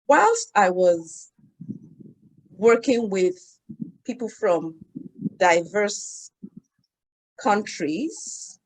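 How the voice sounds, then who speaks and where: A woman, a guest in an interview, telling stories in a measured, lively way. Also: mid-range voice, rough recording. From Nigeria. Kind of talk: conversational interview storytelling.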